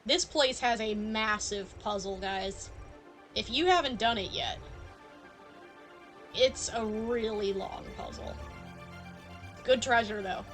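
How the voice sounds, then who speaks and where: A woman's voice: on the high side, takes it slowly, pauses often, a bit bright. A woman game streamer giving casual, chatty live commentary to her viewers over game music. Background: video game music.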